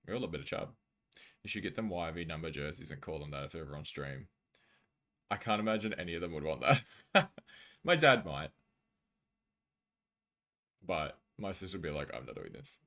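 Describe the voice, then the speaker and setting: Low-voiced Australian man chatting casually. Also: big pitch swings, fairly quick, long pauses, fairly bright tone. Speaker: live streamer. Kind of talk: casual chat with stream viewers, reading out messages.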